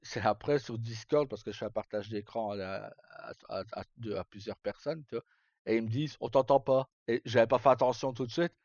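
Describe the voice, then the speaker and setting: A man's voice: mid-range voice, brisk, darkish tone. A man chatting casually on a livestream, sweary and colloquial, in short broken-off phrases. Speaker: live streamer. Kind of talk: casual, unscripted livestream chat to viewers. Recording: dull roomy sound with faint music. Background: faint game music.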